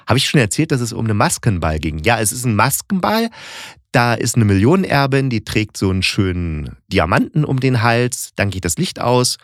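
A man's voice: medium pitch, big pitch swings, normal speed, dry recording, studio quality. A man hosting a solo review podcast, talking casually and colloquially, thinking aloud as he goes. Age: adult. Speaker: podcast host.

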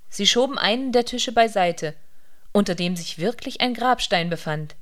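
A woman narrating a literary radio play from a script in a measured, serious manner. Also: mid-range voice, expressive, fairly bright tone.

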